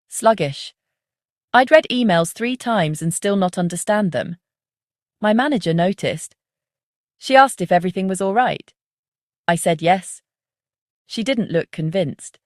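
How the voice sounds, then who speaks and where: A woman reading a scripted first-person story as the narrator of a dark psychological tale. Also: fairly low pitch, no echo, clean studio sound.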